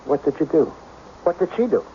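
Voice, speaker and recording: Actor as a brusque, animated rich businessman. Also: on the high side, brisk, close to an everyday voice. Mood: baffled, insistent. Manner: curt, imperious, baffled. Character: brusque, impatient, exasperated wealthy businessman. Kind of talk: radio mystery acting. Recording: dull, noisy old radio recording.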